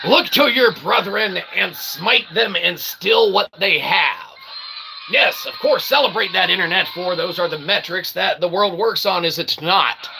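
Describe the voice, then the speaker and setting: A man hosting a rambling livestream, speaking in a wordy, mock-grandiose, self-deprecating way with a gravelly voice. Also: a little high. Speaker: livestream host. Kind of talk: rambling livestream monologue to chat. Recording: noticeable background noise.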